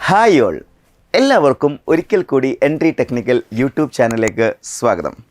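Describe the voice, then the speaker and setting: A man's voice: mid-range voice, big pitch swings, moderate pace. A man with a Malayali accent presenting an explanatory exam lesson as a coaching instructor, addressing viewers directly with rhetorical questions.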